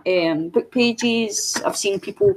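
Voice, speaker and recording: A chatty woman from New Zealand rambles through her craft project in a middle-pitched voice. She trails off and restarts often. Faint noise runs beneath. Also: slow. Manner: chatty, rambling, full of fillers. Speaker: craft channel co-host. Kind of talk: casual craft chat.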